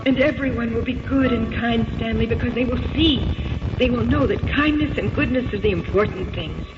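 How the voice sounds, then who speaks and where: A woman's voice: fairly high pitch, moderate pace, natural voice. An actress playing a girlishly ecstatic woman lost in love, breathless and passionate, in an old-time radio drama. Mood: rapturous. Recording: echoing, dull, noisy old radio recording.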